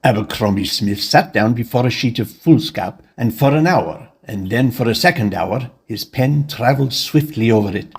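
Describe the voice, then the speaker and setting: A man's voice: medium pitch, animated, moderate pace, few pauses, breathy edge. A man giving scripted narration of a Victorian horror story.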